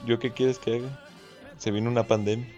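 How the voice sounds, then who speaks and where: Measured young man, lo-fi audio. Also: mid-range voice, flat delivery, mellow, soft background music. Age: 20s. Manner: measured, somewhat formal. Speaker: podcast guest. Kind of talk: casual chat among friends. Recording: dull lo-fi audio.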